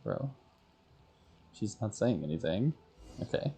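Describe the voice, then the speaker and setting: Woman gamer, casual live commentary, mellow dark tone, wildly swinging intonation, faint game noise. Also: a bass voice, very animated, slow. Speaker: game streamer.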